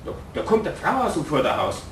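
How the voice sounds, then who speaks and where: An actor playing a nervous, excitable man with a Bavarian accent in a radio play, heard in an echoey space. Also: fairly quick. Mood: concerned, excited. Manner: anxious, excitable. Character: nervous, concerned, excitable male friend, adult. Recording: echoey, distant, faint rumbling noise.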